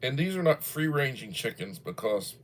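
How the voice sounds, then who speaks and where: A man's voice: mid-range voice, big pitch swings, normal speed, a little hiss or hum. A man in his early sixties, chatting casually on a livestream, rambling and folksy as he reads viewer comments aloud. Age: 60s. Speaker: livestream host.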